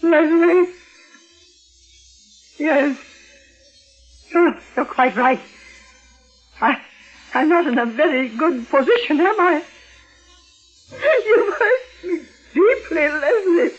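An actress playing a genteel, wounded aunt in a 1940s radio mystery, halting and tremulous. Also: female voice, high voice, lively intonation. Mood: hurt, pleading. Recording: dull vintage radio recording with music.

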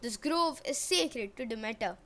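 A woman voicing a proud harvest goddess, sweet in disguise and booming in wrath. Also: expressive, measured pace, a bit bright. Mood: sweet, pleading.

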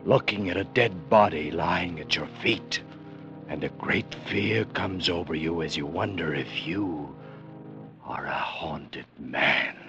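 An actor playing an ominous narrator in a deliberate 1940s radio suspense style, addressing the listener. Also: male voice, sits low, gravelly, over loud music, exaggerated voice. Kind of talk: 1940s radio suspense teaser, second person. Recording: dull old radio recording.

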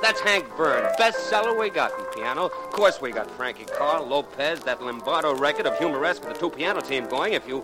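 An actor playing an eager, boastful, slightly dim record shop clerk in a 1940s radio drama, with breezy, slangy, repetitive patter. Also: male voice, mid-range voice, expressive, a little fast, hardly any pauses, over loud music, close to an everyday voice, poor audio. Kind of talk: 1940s radio comic character acting.

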